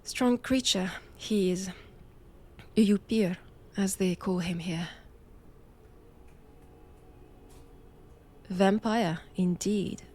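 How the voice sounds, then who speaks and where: Woman playing a knowing nun captor, French accent, unhurried slow delivery, teasing menace, archaic formal wording, intimate audio roleplay. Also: medium pitch, steady tone, darkish tone. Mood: hushed warning. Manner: measured, teasing, formal old-fashioned phrasing.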